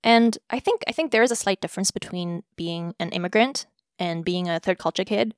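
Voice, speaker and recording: A woman's voice: lowish voice, clean tone, dry recording, clean studio sound. A young woman from South Africa, a podcast host answering questions in a casual, reflective chat. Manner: measured, reflective, with soft fillers. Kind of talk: casual conversation between sisters.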